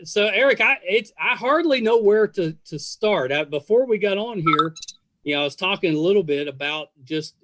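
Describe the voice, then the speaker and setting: A man hosting a casual podcast interview, speaking in a halting, rambling and effusive way. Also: high-pitched, lively intonation, pushed and bright.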